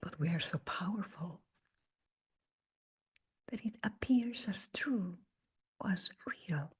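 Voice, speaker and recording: Hushed, breathy woman guiding meditation slowly. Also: fairly low pitch, animated. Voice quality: breathy, husky, near-whisper. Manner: gentle, unhurried, with long pauses between short phrases. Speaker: meditation teacher leading a guided meditation. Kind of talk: slow guided meditation talk.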